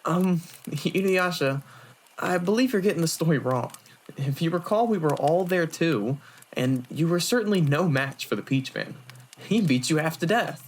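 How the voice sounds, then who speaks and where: Woman acting a young male monk, low pitch for a woman, courteous, measured, earnest, anime audio drama. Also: expressive. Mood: correcting.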